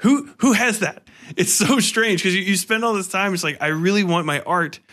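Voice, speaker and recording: A man hosting a casual interview, animated and self-deprecating, with plenty of swearing. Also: high-pitched, moderate pace. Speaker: podcast host and author.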